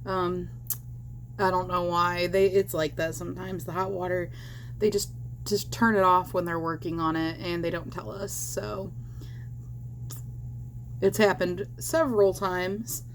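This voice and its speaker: A woman chatting casually while she teaches crochet at home, rambling with fillers and small laughs, her voice slightly hoarse because she is losing it. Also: fairly low pitch, steady tone, a little slow, long pauses, a little hiss or hum. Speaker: crochet vlogger. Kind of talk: casual crochet tutorial chat.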